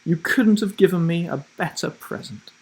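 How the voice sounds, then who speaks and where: A man's voice: on the high side, normal speed, a little mellow, husky, voice pushed up, poor audio. A man voicing a doting, delighted elderly grandmother from a children's storybook in a sweet, gushing manner.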